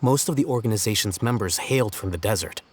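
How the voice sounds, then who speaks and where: Stern deadpan dude, lowish voice, dry delivery. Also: male voice, fast. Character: stern young man, dry and deadpan, former acting leader. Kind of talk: video game voice acting.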